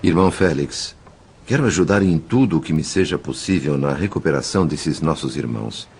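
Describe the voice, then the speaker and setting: Earnest spirit narrator in a brazilian audio drama, really low voice, formal n reverent, bit of noise. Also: male voice, darkish tone. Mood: earnest, respectful. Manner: formal, literary, measured.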